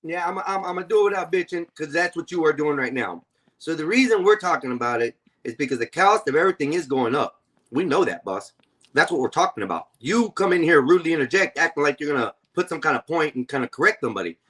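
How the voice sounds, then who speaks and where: A man's voice: on the high side, animated, fast, a bit bright. A man in his forties hosting a live-stream panel, talking bluntly and confrontationally and swearing freely. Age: 40s. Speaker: stream host.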